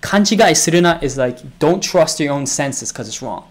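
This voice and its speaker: Woman, Japanese native, low voice, playful explainer, casual reaction video. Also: lively intonation, moderate pace. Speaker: Japanese language teacher.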